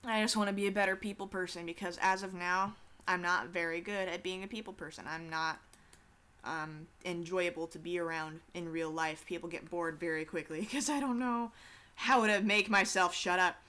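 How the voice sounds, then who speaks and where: A teenage girl rambles through a drawing session at her desk, swearing casually and poking fun at herself as she goes. Her voice is bright and clean, with a crisp, smooth tone through a desktop mic. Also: fairly low pitch, fairly even intonation, moderate pace. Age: teens. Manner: chatty, swears casually, self-deprecating asides. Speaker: artist streaming a drawing session.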